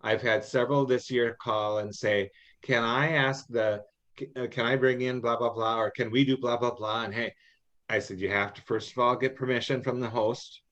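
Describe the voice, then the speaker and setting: A middle-aged man giving informal, folksy advice in an online meeting, halting and repeating himself as he explains. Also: medium pitch, fairly even intonation, fairly bright tone. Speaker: experienced contest judge and adviser. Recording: muffled, band-limited online meeting audio.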